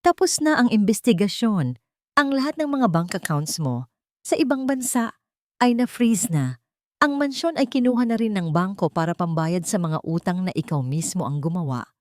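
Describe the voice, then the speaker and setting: A woman voicing a composed young woman who speaks gently but steadily. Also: medium pitch, lively intonation, normal speed, dry recording, clean studio sound, close to an everyday voice. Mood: firm.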